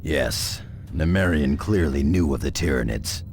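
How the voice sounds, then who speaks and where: A man playing a grim veteran space marine scout sergeant in a video game, grave and measured, with a gravelly voice. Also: lively intonation, a little hiss or hum, heavily acted. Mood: stern, pragmatic. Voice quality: gravelly, very deep. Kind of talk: dramatic video game acting. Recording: music behind the voice.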